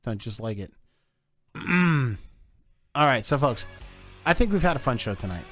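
Laughing, excitable male podcast host. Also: medium pitch, a little slow, faint music behind. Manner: laughs often, swears casually. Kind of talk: casual chat.

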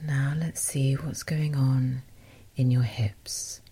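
A woman softly guiding a meditation as host, in a gentle, unhurried, breathy voice that often nears a whisper. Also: very deep voice, steady tone. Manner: gentle, unhurried, softly spoken with short phrases. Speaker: meditation guide and podcast host. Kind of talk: guided meditation, soothing instruction.

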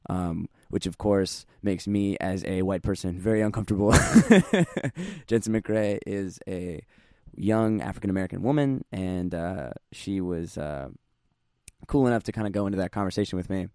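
A young man with a low voice hosts a podcast in a rambling, self-deprecating way. He fills his talk with ums and uhs. The sound is close and dry. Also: moderate pace, mellow, no echo. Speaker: podcast host. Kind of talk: casual podcast chat. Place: practice room.

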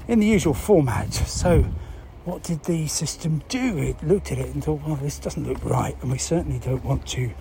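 A man explains a news story in unscripted, halting talk, thinking aloud and posing rhetorical questions. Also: on the high side, warm tone. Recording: clear background noise.

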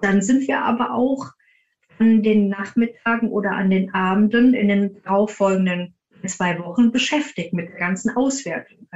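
A woman's voice: mid-range voice, moderate pace, a little mellow, dull audio. A woman speaking as a podcast guest, explaining her work in detailed, conversational answers. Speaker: podcast guest, paranormal investigator.

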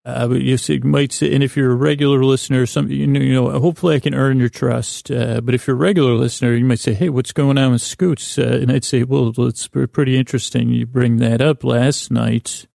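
A man with a creaky voice hosting a podcast, delivering a meandering, halting, self-deprecating bedtime monologue full of digressions and pauses. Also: mid-range voice, steady tone, warm tone, dry recording, studio quality. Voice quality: creaky, gravelly. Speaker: podcast host.

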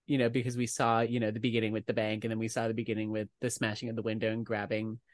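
A man's voice: fairly low pitch, completely flat delivery, very fast, dry recording. Playfully emphatic man, dry close recording. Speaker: podcast co-host. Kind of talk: casual banter.

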